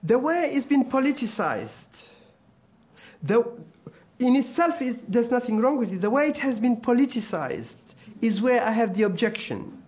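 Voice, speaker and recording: Male advisor, high voice, hesitant and careful, trails off. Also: big pitch swings, a little slow, darkish tone. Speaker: government advisor. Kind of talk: interview answers.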